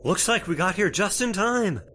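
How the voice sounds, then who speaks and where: A man's voice: sits high, expressive, normal speed, fairly bright tone, slight background noise. A man playing a harried, blustering sheriff with an exasperated, folksy manner in an old-time radio drama. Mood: somber.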